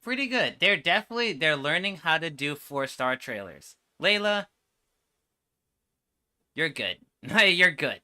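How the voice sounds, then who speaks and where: Bright-voiced man reacting casually. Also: fairly high pitch, very animated, a little slow. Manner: blunt, mildly profane, repeats himself. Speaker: reaction video host.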